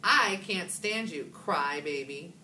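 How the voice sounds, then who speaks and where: A woman voicing a defensive young schoolgirl who speaks in a high, insistent way. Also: sing-song, normal speed, pushed and bright. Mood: scornful. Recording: roomy, distant from the mic, faint background hiss.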